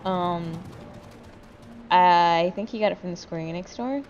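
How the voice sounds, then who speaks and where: A sleepy woman gamer with a lowish voice rambles casually to her friends. Her speech is informal and sweary, on a slightly dull recording with faint music behind it. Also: unhurried, a little mellow. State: very tired. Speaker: gaming video host and player. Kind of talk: casual gaming chat with friends.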